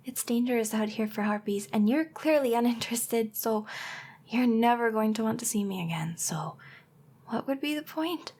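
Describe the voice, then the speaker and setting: A woman voicing a young, clingy, lovesick harpy girl in an anime ASMR roleplay, with a breathy, often whispery voice and a hesitant, earnest delivery. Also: a little high, animated, normal speed, a little mellow. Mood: dejected, resigned. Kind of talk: anime ASMR roleplay acting, intimate address to listener.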